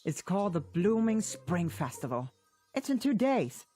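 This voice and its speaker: A woman voicing a friendly, informative pony in an animated fan drama. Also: mid-range voice, moderate pace, soft background music, lightly acted. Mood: informative, upbeat. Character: friendly, informative festival-going cartoon pony.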